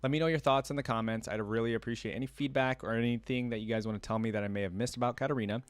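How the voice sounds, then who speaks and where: A man's voice: medium pitch, steady tone, rapid-fire, dry recording. Casual male reaction host, dry close sound. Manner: rambling, conversational, often seeks agreement. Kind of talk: casual, unscripted chat to viewers.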